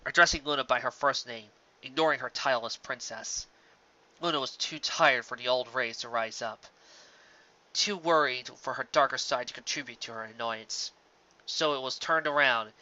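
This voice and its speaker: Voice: mid-range voice, bright, forceful tone. A reader narrating a scripted fan fiction story. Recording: slightly dull, lo-fi recording.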